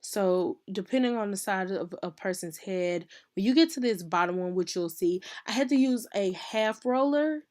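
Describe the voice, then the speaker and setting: A sick woman, her voice hoarse and worn as she talks viewers through a hair tutorial. She is casual and chatty and often stops mid-phrase to correct herself. Her pitch sits in the middle of a woman's range. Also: normal speed, few pauses, a little mellow. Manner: chatty, uses "y'all", self-correcting. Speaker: hairstylist teaching a tutorial.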